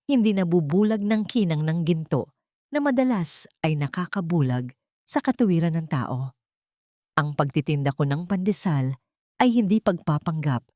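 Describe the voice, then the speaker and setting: A woman with a Filipino accent voicing a dignified, gentle older mother in an audio story, earnest and measured. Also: low voice, no room sound, studio quality. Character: dignified, gentle older mother confessing long-kept secrets.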